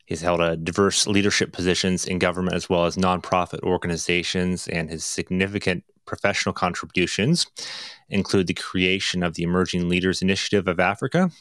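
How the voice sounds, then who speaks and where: A man with a notably low, deep voice hosts a faith podcast, speaking earnestly and with an upbeat tone. He fills his sentences with "you know" and "um". His voice sounds dry and close, with no room echo. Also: fairly even intonation, a little fast, a bit bright. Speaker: podcast host. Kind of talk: podcast interview hosting.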